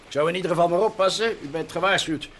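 An actor playing a blunt, officious police officer in an old radio play. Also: male voice, high-pitched, very fast, a bit bright. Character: male police officer, gruff but helpful. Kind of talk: vintage Dutch radio play acting. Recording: old radio recording with faint hiss.

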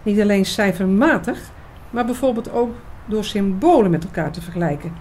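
A woman's voice: mid-range voice, moderate pace, darkish tone, slight background noise, lightly acted. A woman playing an eager, knowing researcher in a scripted radio drama, explaining mysteries with emphasis. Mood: eager, coaxing. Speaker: actress in an audio drama.

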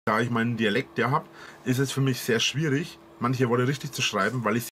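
Man, southern German dialect, earnest halting monologue, faint noise behind. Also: mid-range voice, steady tone, a bit bright. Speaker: video creator explaining himself. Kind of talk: monologue to camera.

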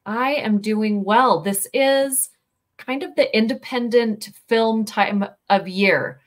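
A woman's voice: on the high side, slow pace. A woman hosting a casual online interview over a video call, talking in an upbeat, chatty way from a headset mic at home. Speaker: host. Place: home room with posters.

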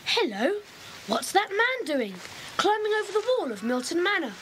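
Excited young boy, very high and lively, muttering to himself. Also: moderate pace, background noise.

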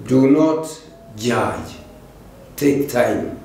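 An older man with a Kenyan accent, a pastor preaching a sermon slowly and deliberately into a pulpit microphone in a large church. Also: medium pitch, expressive, darkish tone, slight background noise. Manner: slow, deliberate preaching with repeated phrases. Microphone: stand mic at the pulpit. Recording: very echoey church hall.

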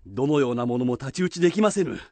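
Humble samurai retainer for radio drama male actor, formal and deferential, medium pitch, very expressive. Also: racing, no echo, natural voice. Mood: humble wonder. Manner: formal, respectful archaic speech.

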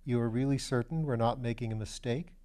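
A man's voice: medium pitch, brisk, warm tone, natural voice. Man as a dry, sensible detective. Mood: dry, doubtful. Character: sensible, sceptical, dry-humoured male police detective.

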